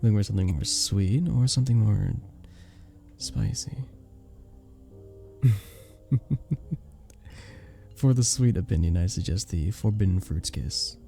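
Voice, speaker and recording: Charming male vampire bartender, acted by a man for ASMR roleplay: lowish, very soft dark tone, teasing and flirtatious, with lingering pauses. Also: creaky, soft background music. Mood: suave, playful. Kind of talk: scripted ASMR roleplay, addressing the listener.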